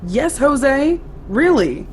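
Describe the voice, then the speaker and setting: A woman's voice: high voice, sing-song, drawn-out words, a little mellow, slight background noise, heavily acted. An actress in an audio drama playing a hurt, guarded younger woman who is insistent with her lover. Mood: indignant, demanding. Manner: indignant, insistent, emotionally guarded. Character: hurt, demanding younger woman in love with brother's best friend. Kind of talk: contemporary audio drama acting. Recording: music under the voice.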